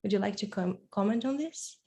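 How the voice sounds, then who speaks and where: Mid-pitched woman reading out questions. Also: brisk, dark tone. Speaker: moderator reading audience questions.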